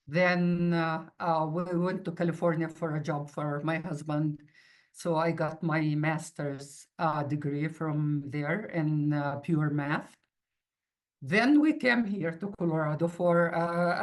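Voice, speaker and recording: A middle-aged woman, a native Arabic speaker with an Arabic accent, gives an informal interview account in a halting way, searching for words. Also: deep voice. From Palestinian refugee from the Middle East. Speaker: university mathematics instructor.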